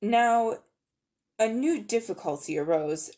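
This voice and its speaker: A woman's voice: a little high, animated, slow, mellow, pitched above the performer's own voice. A woman voicing a vain, pompous old uncle who dabbles in magic, in a storybook reading. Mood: impatient. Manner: pompous, self-important, easily affronted.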